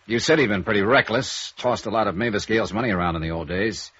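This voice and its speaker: An actor playing a sardonic, hard-boiled American insurance investigator in a 1950s radio detective drama. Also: male voice, on the low side, fast, fairly bright tone, breathy edge, dry recording. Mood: probing, skeptical. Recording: dull vintage radio recording with music.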